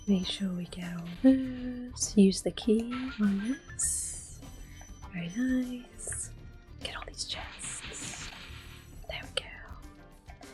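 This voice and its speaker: A woman giving soft-spoken ASMR game commentary in a gentle, breathy, often whispered murmur. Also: a little high, drawn-out words, faint music behind. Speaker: gameplay host.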